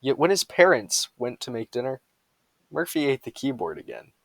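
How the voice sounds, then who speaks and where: A man's voice: mid-range voice, very animated, moderate pace, gravelly, a bit bright, dry recording. A man reading humorous bedtime stories aloud with a mock-serious storytelling delivery.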